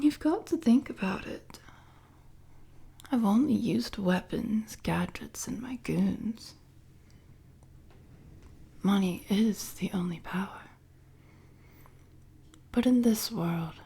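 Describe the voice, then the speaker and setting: A woman playing an unhinged, obsessive female supervillain in an intimate roleplay audio drama, teasing and savoring each threat in a husky half-whisper. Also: medium pitch, warm tone, full character voice. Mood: sly, taunting. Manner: slow, teasing, savoring threats, sudden swells into manic intensity. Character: unhinged, obsessive young female supervillain, gleefully menacing prisoner.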